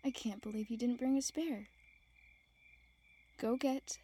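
A woman playing a grumpy, teasing young woman on a camping trip in an intimate audio roleplay, breathy and sarcastic. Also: fairly high pitch, a little slow, mellow, a little hiss or hum, natural voice. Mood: annoyed, sarcastic.

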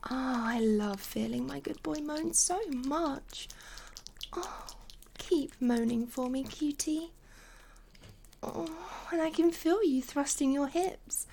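Woman acting as a doting seductive lover, high voice, very unhurried, breathy whispers, soothing, intimate audio roleplay. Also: dark tone, slight background noise, heavily acted. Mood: encouraging, aroused. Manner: breathy, coaxing, often dropping into whispers.

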